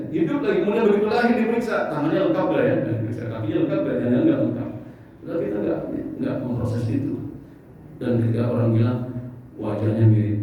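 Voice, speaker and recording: Man, 50s, mosque preacher. Chatty, jokey, questions the congregation. Faint hiss, muffled sound. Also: medium pitch. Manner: conversational, joking, asks the audience questions. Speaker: Islamic preacher. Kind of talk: mosque study sermon. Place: large mosque hall. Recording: echoey, distant, dull mosque recording.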